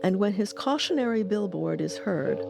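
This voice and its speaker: A woman's voice: medium pitch, animated, moderate pace, gravelly. Woman narrating a documentary over faint music. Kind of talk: scripted narration.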